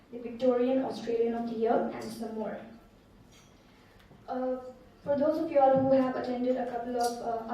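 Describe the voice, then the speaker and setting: Female event host, fairly high, even-toned voice, formal and hesitant, heard distantly in a very echoey hall. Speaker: event host introducing a speaker. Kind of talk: formal spoken introduction. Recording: roomy echo, distant mic, faint noise.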